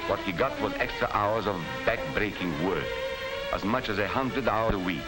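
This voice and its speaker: A man's voice: lowish voice, low-quality recording. An actor playing an earnest Hungarian American man who narrates a 1940s propaganda film with emphatic, grave storytelling. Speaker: narrator of a dramatized educational film. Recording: 1940s film soundtrack with loud music.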